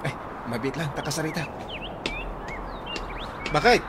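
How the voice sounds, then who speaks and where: A man with an Ilocano accent playing a hurried, pushy tricycle driver in a radio comedy drama, chattering in quick clipped phrases. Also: fairly high pitch, animated, very noisy. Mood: hurried, coaxing.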